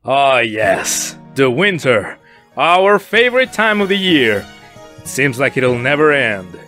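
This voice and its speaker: A man's voice: medium pitch, sing-song, slow, bright, forceful tone, faint music behind, full character voice. A man playing a cheeky, mock-solemn narrator, delivering comic scripted narration for a cartoon parody.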